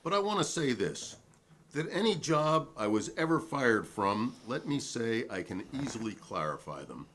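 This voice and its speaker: Man playing a defiant, animated witness. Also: mid-range voice, moderate pace, slight background noise. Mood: righteous, indignant. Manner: long-winded, righteous, formal, at times sarcastic. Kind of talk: dramatic reading of a hearing transcript.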